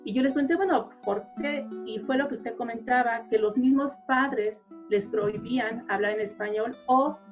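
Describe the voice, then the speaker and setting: A woman's voice: fairly high pitch, moderate pace, hardly any pauses, lo-fi sound, soft background music. A woman teacher speaking conversationally at an academic panel, explaining things through personal anecdotes. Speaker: Spanish teacher.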